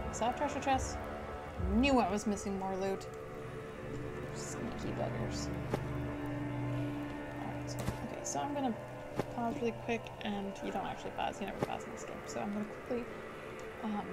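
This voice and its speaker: Chatty young woman playing a game. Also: medium pitch. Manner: chatty, excitable, muttering to herself. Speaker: gaming video host. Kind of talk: casual let's play commentary. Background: loud game music.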